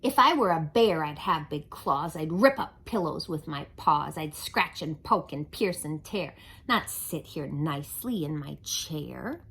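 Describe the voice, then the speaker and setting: A woman's voice: sits low, expressive, a little slow, vocal fry, a bit bright, slight background noise, full character voice. A woman voicing an indignant little child in a timeout chair, protesting in sing-song rhyme.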